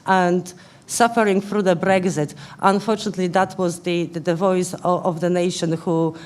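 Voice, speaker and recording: Woman, Polish accent, slightly low voice; political panellist answering an audience, earnest and halting. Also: fairly even intonation, darkish tone. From Poland. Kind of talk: answering audience questions in a public debate. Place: live public question-time event indoors.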